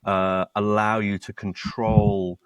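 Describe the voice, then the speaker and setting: Low-voiced British man with many restarts. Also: level tone, takes it slowly, clean tone, no room sound, dull audio. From Britain. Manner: hesitant, many repeated words and restarts. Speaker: co-founder and guest. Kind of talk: casual interview talk.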